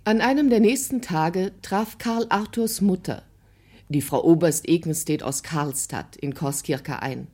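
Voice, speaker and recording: A woman narrates a literary tale from a script. Her voice is very low for a woman and dark, soft-edged in tone, with measured storytelling delivery.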